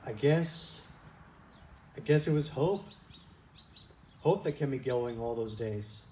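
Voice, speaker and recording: A man playing a humbled, yearning prodigal son in a church reader's theater skit, telling his story earnestly with dramatic pauses. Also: medium pitch, warm tone, natural voice. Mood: hopeful. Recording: distant mic, noisy room.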